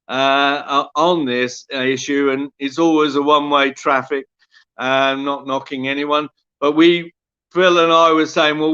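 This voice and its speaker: Guy in a club meeting call, smooth fairly high voice, lo-fi muffled audio, lots of uhs. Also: bright, forceful tone. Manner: halting, many "uh" pauses. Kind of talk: committee meeting discussion. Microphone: online call.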